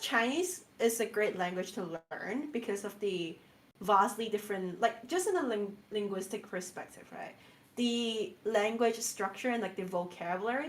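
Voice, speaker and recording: A woman's voice: medium pitch, normal speed, low-quality recording. Young woman with a Cantonese-tinged English accent. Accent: Cantonese-influenced English. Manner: chatty, laughs often, filler-heavy. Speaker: VTuber guest. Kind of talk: casual stream chat.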